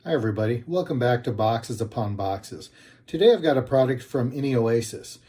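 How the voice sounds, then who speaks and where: A man giving a casual, unscripted product review, speaking in a halting, hesitant way and often correcting himself. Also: medium pitch, expressive, warm tone. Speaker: product reviewer, channel host.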